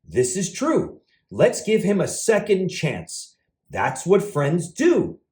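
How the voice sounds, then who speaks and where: A man's voice: sits high, wildly swooping pitch, takes it slowly, darkish tone, heavily acted. A man voicing a friendly, thoughtful male cat from a children's storybook. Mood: kindly, forgiving. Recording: roomy echo.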